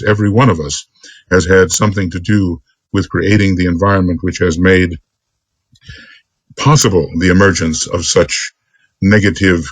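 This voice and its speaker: A man giving an extemporaneous spiritual lecture as a teacher, speaking earnestly in deliberate, halting phrases. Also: sits low, breathy edge, no echo. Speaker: esoteric teacher.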